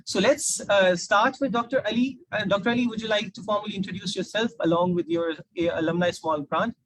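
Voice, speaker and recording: A man with a Pakistani accent, politely and somewhat haltingly moderating an informal live session as host. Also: high-pitched, crowd noise, low-quality recording. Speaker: panel host, alumni outreach officer.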